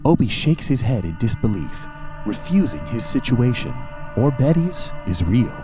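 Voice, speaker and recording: Animated male narrator over music. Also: mid-range voice, normal speed. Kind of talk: scripted comedic narration.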